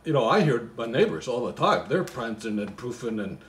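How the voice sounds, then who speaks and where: A man's voice: mid-range voice, big pitch swings, brisk, slight background noise. A man reading a poem aloud with casual joking asides, in a rambling, comically baffled manner. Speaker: host reading a Christmas poem. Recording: echoey room.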